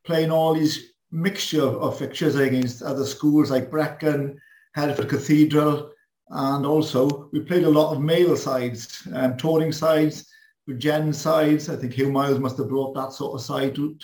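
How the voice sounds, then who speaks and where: A Welsh man with a slightly high voice, reminiscing in a loose, rambling way. His first language is Welsh. His voice bounces around a roomy, echoey space. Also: a little slow. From Wales. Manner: relaxed, rambling with many fillers. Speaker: guest, former pupil. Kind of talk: reminiscing in a group video chat.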